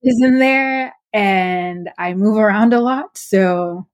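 A woman's voice: medium pitch, takes it slowly. Hesitant young woman from Trinidad. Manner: hesitant, many fillers and restarts. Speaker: artist guest. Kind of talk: casual interview answers.

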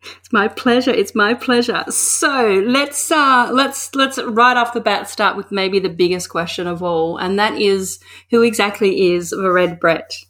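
A woman's voice: mid-range voice, measured pace, a bit bright. Chatty woman hosting an interview. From Australia. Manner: chatty, rambling, restarts questions. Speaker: podcast host. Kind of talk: casual interview chat.

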